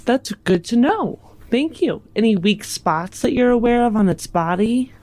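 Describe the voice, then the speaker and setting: A woman's voice: on the high side, lively intonation, unhurried, dark tone. A woman playing a dry, teasing adventurer in a tabletop game, wry and polite. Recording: music under the voice.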